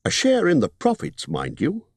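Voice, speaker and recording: Guy doing a high squeaky fussy hobbit, very lively, dry close sound. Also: moderate pace, no room sound, exaggerated voice. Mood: businesslike. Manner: businesslike, squeaky, anxious. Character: fussy, polite male hobbit burglar.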